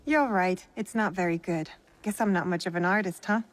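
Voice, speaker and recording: A woman playing a guarded, wry young female shinobi in a video game, with a husky voice and terse, dry delivery. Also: on the low side, fairly quick, close to an everyday voice. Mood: dry, playful. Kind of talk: video game cutscene acting.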